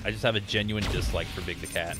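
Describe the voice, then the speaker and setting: A man's voice: low-pitched, steady tone, quick pace. A young man chatting casually as he streams a game, rambling and giving his opinions. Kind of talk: casual live game commentary and chat. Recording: game music behind the voice. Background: video game music and sounds.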